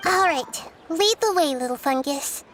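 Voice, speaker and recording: A woman voicing a small, gentle, wise child goddess in a video game, speaking softly and thoughtfully. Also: sits high, lively intonation, a little slow, over loud music. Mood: gentle, reassuring. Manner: soft-spoken, thoughtful, articulate. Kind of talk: video game character acting.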